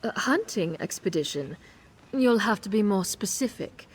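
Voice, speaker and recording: A cold, haughty noblewoman in a video game, speaking with imperious condescension at a middle pitch. She sounds polished and sure of her own rank. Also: expressive, normal speed, slightly rough. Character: haughty noblewoman who hosts cruel hunts.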